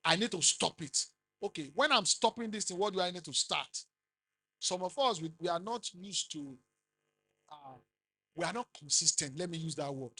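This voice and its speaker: A middle-aged man with a Nigerian accent giving a business seminar talk, rising loudly for emphasis and quizzing the audience. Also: sits high, lively intonation, normal speed. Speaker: conference speaker.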